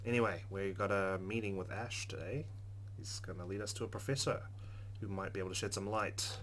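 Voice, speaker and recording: A man's voice: low-pitched, a little fast, fairly bright tone, a little hiss or hum. A man hosting a let's play, reading game narration aloud and adding casual commentary. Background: faint game music.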